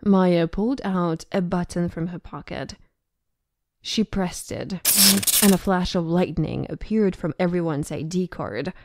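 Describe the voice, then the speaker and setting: A woman's voice: lowish voice, measured pace, darkish tone, no room sound. A woman narrating a scripted audio drama as the storyteller.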